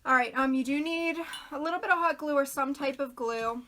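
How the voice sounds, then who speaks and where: Bright, high-voiced woman chatting while crafting. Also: fairly quick, a little hiss or hum. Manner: chatty and rambling, greets viewers by name. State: losing her voice, clearing throat. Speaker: craft video host. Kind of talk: casual livestream craft chat.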